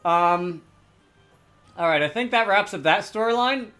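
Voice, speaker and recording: A man's voice: high voice, lively intonation, measured pace, sharp tone, soft background music. A man giving casual commentary on a game as a let's player who reads its dialogue aloud.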